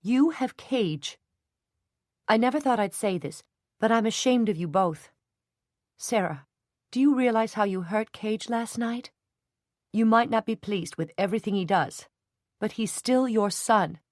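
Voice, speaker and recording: A woman voicing a loyal, fiery young woman who speaks earnestly and turns sharp and indignant. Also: fairly low pitch, animated, moderate pace, no room sound, studio quality, close to an everyday voice. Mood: angry.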